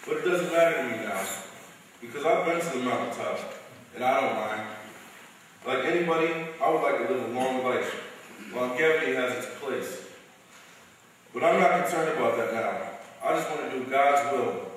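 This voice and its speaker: A man's voice: medium pitch, lively intonation, moderate pace, long pauses, natural voice. A young man, a student reader, playing a solemn civil rights preacher giving a speech in a dramatic reading. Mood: earnest, resolute. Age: young adult. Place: large school chapel. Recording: echoey hall, distant mic, faint noise.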